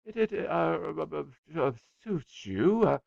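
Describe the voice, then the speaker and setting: A man's voice: a little high, expressive, gravelly, darkish tone, dry recording, lo-fi sound, pitched above the performer's own voice, exaggerated voice. A man voicing a bashful, lovesick young man who stammers and halts. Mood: flustered stammering. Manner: stammering, halting with fillers.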